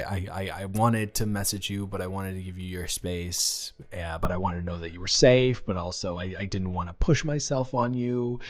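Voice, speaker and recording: A man playing an earnest, nervous teenage boy in love, speaking in a halting, stammering, rambling way in an intimate romantic audio roleplay. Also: low-pitched. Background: music.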